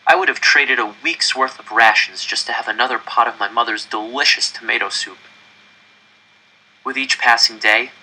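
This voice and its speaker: A man reading a novel aloud as scripted narration, with measured, expressive first-person storytelling. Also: lowish voice, low-quality recording. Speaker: audiobook reader.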